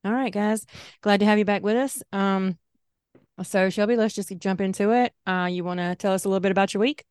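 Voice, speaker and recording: A middle-aged woman co-hosting a podcast in casual chat, chatty and quick to laugh, saying y'all. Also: mid-range voice, fairly even intonation, a little mellow, clear, resonant tone, no echo, studio quality. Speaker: podcast co-host.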